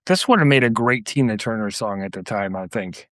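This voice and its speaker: A man's voice: lowish voice, animated, brisk, a bit bright, no room sound, clean studio sound. A man on a podcast panel, chatting casually about pop music.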